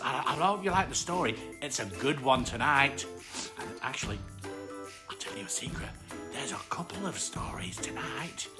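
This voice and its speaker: A woman voicing a cheerful, excitable male armadillo who hosts children's bedtime stories, effusive and playful, with a British accent. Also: medium pitch. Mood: confiding. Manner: effusive, repeats words, playful. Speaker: bedtime story host. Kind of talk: live children's storytime chat.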